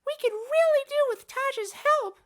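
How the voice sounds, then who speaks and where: A man voicing an excitable little female mouse who babbles and squeals in a storybook narration. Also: extremely high pitch, measured pace, voice pushed up. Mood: excited pleading.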